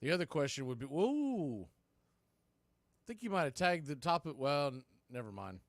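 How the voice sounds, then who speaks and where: Male play-by-play announcer, slightly high register, clipped live commentary, very dry studio-close sound. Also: sing-song, a little slow, dry recording.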